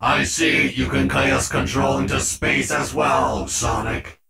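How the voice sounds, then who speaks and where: A man voicing a cold, menacing male war robot in a fan audio drama, speaking flatly and mechanically. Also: mid-range voice, slow pace, vocal fry, roomy sound, far from the mic, noisy background, full character voice. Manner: flat, mechanical, threatening.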